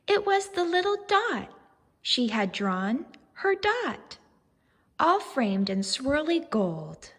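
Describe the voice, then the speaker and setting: A woman reads a children's story like a teacher, slowly and with big swings in pitch. Her voice is husky and expressive, and it rings with a long reverb. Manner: expressive, deliberate, addressing young children. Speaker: teacher reading aloud. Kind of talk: children's storybook read-aloud with questions.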